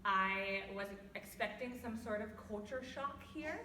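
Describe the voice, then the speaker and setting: Loud young woman in an echoey hall. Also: mid-range voice, measured pace, distant mic, slight background noise. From California. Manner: loud, laughing, self-deprecating. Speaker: guest speaker. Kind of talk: personal talk to an audience. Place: church hall. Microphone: no microphone, far from recorder.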